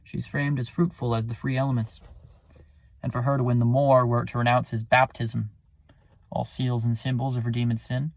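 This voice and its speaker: A man playing a smooth, scheming villain in a theatrical, deliberate Shakespearean soliloquy. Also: medium pitch, flat delivery, no room sound, close to an everyday voice. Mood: sly reasoning. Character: scheming, smooth male villain plotting ruin.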